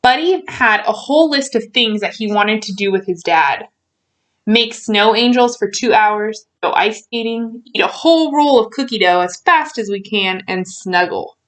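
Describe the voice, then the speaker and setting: A woman reading a children's storybook aloud in an expressive way, stretching out key words for emphasis. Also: medium pitch, moderate pace, poor audio.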